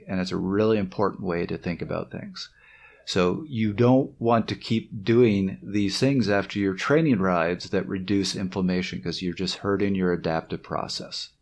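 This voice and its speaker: A man hosting a podcast, giving a steady explanatory summary on training. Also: on the low side. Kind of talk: podcast discussion.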